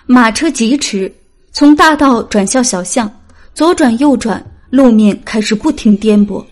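A woman reads a novel aloud as an audiobook narrator. Her voice sits fairly high. The delivery is scripted, dramatic storytelling. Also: animated, measured pace.

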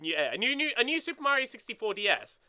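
A young man co-hosting a video game let's play in casual chat, excitable and sweary, often repeating words as he gets going. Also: very high voice, fast.

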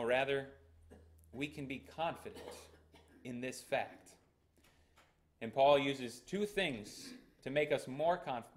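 Young American pastor preaching earnestly. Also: male voice, medium pitch. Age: young adult. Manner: earnest, emphatic preaching with hand gestures. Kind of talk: sermon. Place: small church sanctuary, indoors. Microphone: fixed camera at the front of the church.

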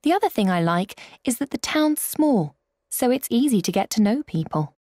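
A woman's voice: a little high, big pitch swings, normal speed, a little mellow, no room sound, clean studio sound. An actress playing a contented woman making small talk for a language course. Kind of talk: scripted language-course monologue.

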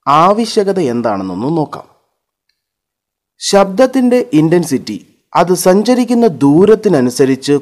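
A man narrating an electronics lesson over slides, listing terms one at a time in a measured way. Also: fairly high pitch, a little mellow. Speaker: teacher.

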